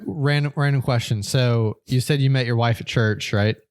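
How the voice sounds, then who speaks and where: A man's voice: medium pitch, moderate pace, clear, resonant tone, no room sound. Laid-back young man, dry sound. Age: 20s. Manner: laid-back, curious questions. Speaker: podcast co-host. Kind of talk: casual chat.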